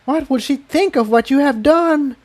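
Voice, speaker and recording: Man doing a high-pitched, earnest young pony mare. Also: moderate pace. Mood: earnest defiance. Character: young female pony, earnest, brave and pleading. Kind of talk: storybook character voice.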